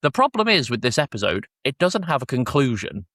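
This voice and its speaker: A man's voice: medium pitch, very animated, fairly quick, a bit bright, no room sound, studio quality. A man hosting a podcast, chatting casually and recapping episodes in a jokey, informal way. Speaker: podcast host.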